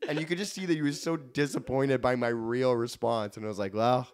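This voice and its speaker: A man's voice: mid-range voice, steady tone, a little fast. A Canadian man chatting casually as a podcast guest, rambling and self-deprecating, acting out bits of dialogue as he tells stories. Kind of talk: casual podcast chat with comic storytelling.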